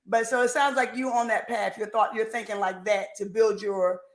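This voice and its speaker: A woman's voice: medium pitch, normal speed. A woman in her forties hosting a podcast over a video call, chatting casually and repeating phrases for emphasis. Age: 40s. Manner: animated, repeats phrases, faith-filled talk. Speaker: podcast host. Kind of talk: casual chat. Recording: echoey room.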